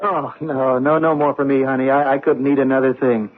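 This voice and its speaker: An actor playing an affable, unsuspecting husband in a radio drama, easygoing and casually hesitant. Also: male voice, medium pitch, level tone, a little fast, faint music behind, close to an everyday voice. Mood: breezy. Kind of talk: old-time radio drama acting. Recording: tinny, band-limited vintage radio broadcast.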